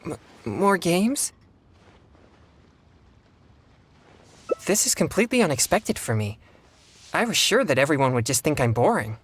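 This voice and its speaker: A man voicing a reserved teenage boy exorcist in a video game, earnest, measured and formal in manner. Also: on the high side, sing-song, breathy edge. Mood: flustered, surprised.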